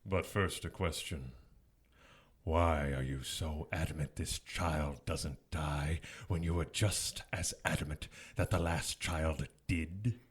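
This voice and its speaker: A man's voice: a bass voice, slow, slightly rough, exaggerated voice. A man playing a smug, taunting, seductive fallen angel in an audio drama, with a teasing, sardonic drawl. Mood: sly.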